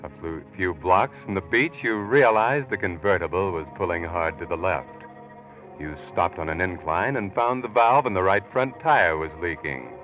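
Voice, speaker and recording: A deep-voiced American man acting as a sinister, knowing narrator of an old radio thriller. He speaks deliberately and tauntingly, as if to the culprit. The muffled vintage recording carries strong music behind him. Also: natural voice. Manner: taunting, deliberate second-person storytelling. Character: knowing, menacing male narrator addressing the guilty man.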